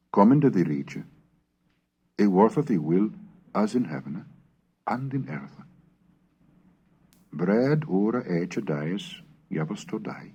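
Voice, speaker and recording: A man reading scripted lectures and old verse in a measured, scholarly manner, as a lecturer with a husky voice. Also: deep voice, a little mellow, highs cut off. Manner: measured, scholarly, declamatory when reciting. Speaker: lecturer and reader. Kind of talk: scripted narration on English language history, with Middle English recitations.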